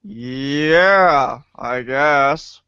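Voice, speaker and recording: A man playing an excitable, defensive, foul-mouthed teenage boy in amateur reader's theater, exclaiming and swinging from hesitant to indignant. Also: mid-range voice, extremely slow, clear, resonant tone, no echo, muffled recording. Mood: hesitant, deflated. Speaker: voice actor.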